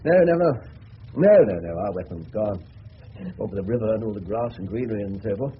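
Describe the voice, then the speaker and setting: An actor with an English accent playing a thoughtful, wry senior police detective in a 1970s British radio drama. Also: male voice, on the low side, lively intonation, brisk, natural voice. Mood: wry, resigned. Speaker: senior detective in a murder mystery. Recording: muffled phone-like old radio broadcast, faint noise.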